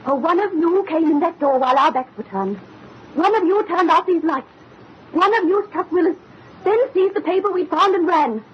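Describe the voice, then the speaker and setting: An actress playing a determined, grieving young daughter with an intense, imploring manner in 1930s radio horror. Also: female voice, extremely high pitch, expressive, moderate pace. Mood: accusing, determined. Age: young adult. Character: determined, grieving young daughter avenging her murdered father. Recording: muffled old radio recording with hiss.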